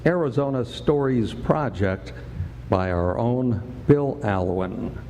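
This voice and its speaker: A man's voice: medium pitch, lively intonation, takes it slowly, a little mellow, a little hiss or hum. A man giving scripted, dramatic storytelling narration as narrator of a radio play. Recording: reverberant hall, music behind.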